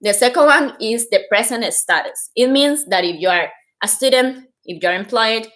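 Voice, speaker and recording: A woman's voice: fairly high pitch, moderate pace, a bit bright. A woman from Costa Rica with a Costa Rican Spanish accent, explaining things informally on a livestream and stressing key points with frequent filler words. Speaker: host giving application advice.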